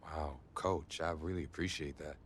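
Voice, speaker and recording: An actor playing an eager, wry young college quarterback in a video game drama, casual and expressive. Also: male voice, extremely low pitch, normal speed, slight background noise. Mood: hopeful. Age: young adult.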